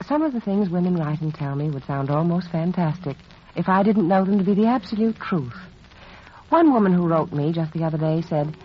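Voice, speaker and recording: Animated woman selling on muffled old radio. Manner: earnest, confiding sales pitch. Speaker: beauty authority, advertising spokeswoman. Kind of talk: 1940s radio commercial, scripted. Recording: dull, noisy vintage 1940s radio recording.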